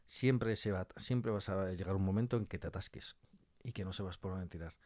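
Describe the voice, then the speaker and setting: Male, lowish voice, conversational interviewee who repeats for emphasis, dry studio recording. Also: normal speed, darkish tone, no echo. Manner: informal, joking, repeats himself for emphasis. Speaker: interview guest, audio fiction scriptwriter.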